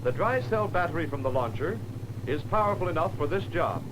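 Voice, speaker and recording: Guy narrating an old 1940s training film, kinda high voice, wry and instructive. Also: expressive, fairly quick, noisy background. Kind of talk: scripted narration.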